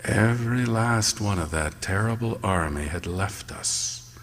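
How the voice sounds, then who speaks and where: An actor playing a haunted lighthouse keeper who tells his ordeal in a radio play, with gravelly dramatic storytelling that drops to hushed tones. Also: lowish voice, measured pace, big-room sound, a little hiss or hum, natural voice. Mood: weary. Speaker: narrator and lead actor. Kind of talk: live radio theatre.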